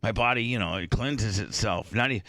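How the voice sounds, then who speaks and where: A man hosting a morning radio show, talking casually in halting, rambling bursts with many restarts, sounding a bit congested. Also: on the low side, a bit bright, dry recording. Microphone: studio microphone.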